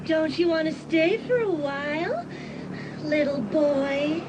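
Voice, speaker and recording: Woman acting a high-voiced, teasing bold teenage girl. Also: expressive, slow pace, background noise. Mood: taunting. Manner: teasing, provocative. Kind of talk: dramatised audiobook acting. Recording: music behind the voice.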